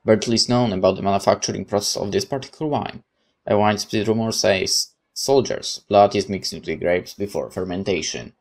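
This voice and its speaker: A man's voice: on the low side, a little fast, a little mellow. A man reading a visual novel aloud as narration, dropping in casual asides of his own.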